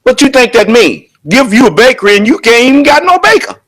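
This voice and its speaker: A man preaching a sermon at a prayer service in a loud, booming voice, driving his points home with rhetorical questions. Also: big pitch swings, fairly quick.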